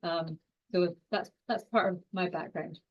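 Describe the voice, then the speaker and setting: A woman in her forties with a strong Scottish accent, giving an online science lecture quickly, with frequent fillers and restarts. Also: on the low side, steady tone, highs cut off. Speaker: university lecturer and exercise scientist.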